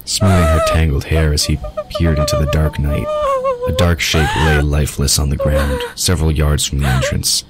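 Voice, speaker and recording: A man's voice: extremely low pitch, huge pitch swings, moderate pace, over loud music. A man narrating a scripted fantasy audio drama. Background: ambient sound effects.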